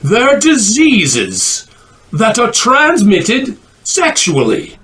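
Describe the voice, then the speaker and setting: A man's voice: sits high, huge pitch swings, extremely slow, a bit bright, exaggerated voice. A man voicing a lewd older puppet doctor in an adult comedy, breezily inappropriate, theatrical and half-singing. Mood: on edge. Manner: theatrical, swooping, half-sung delivery. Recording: hip hop music behind voice.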